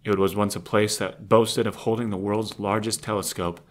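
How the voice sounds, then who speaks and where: A man's voice: fairly low pitch, level tone, normal speed. A man reading scripted narration as the narrator of an audio drama.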